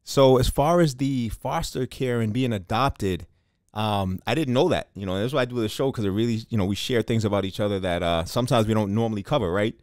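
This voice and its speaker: A man's voice: lowish voice, a little fast, a little mellow, no echo, clean studio sound. A man hosting a podcast interview, upbeat and encouraging, with many fillers. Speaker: podcast host. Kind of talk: casual interview.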